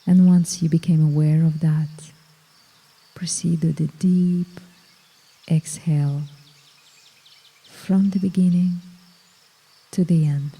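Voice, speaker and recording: Woman, meditation guide, slow, very mellow, breathy, soothing, long pauses. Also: deep voice, fairly even intonation, big-room sound, low-quality recording.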